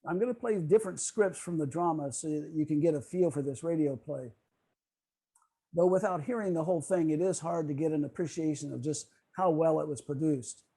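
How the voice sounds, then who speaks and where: Mellow, slightly high male presenter. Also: a little fast, breathy edge. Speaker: club presenter. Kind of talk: presentation, partly scripted narration. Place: video call meeting.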